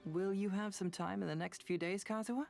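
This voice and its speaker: A woman's voice: mid-range voice, steady tone, a little fast, gravelly, a little mellow, faint music behind, close to an everyday voice. An actress playing a keen, businesslike young female detective in a video game.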